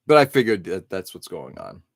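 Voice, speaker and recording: Low-voiced man chatting with viewers. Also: huge pitch swings, brisk, gravelly, no echo, clean studio sound. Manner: rambling, reads chat comments aloud and answers them. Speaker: live stream host. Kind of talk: casual early-morning chat with viewers.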